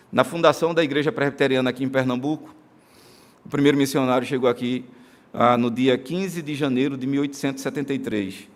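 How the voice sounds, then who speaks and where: A male pastor with a Brazilian accent preaching a sermon in a church, earnest, moving between emphatic declarations and soft, slow solemn passages. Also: medium pitch. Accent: Brazilian Portuguese. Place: church sanctuary during a live-streamed service.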